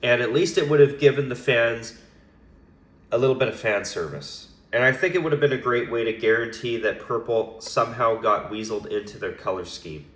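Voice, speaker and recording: A man's voice: mid-range voice, a little fast, fairly bright tone, echoey room. A man giving casual, conversational opinion commentary as a hockey vlogger, hedging now and then with fillers.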